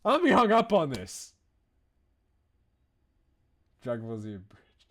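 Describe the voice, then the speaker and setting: A man's voice: on the high side, huge pitch swings, a little slow, a bit bright. A man chatting casually on a livestream as a game streamer, enthusiastic and informal in how he talks.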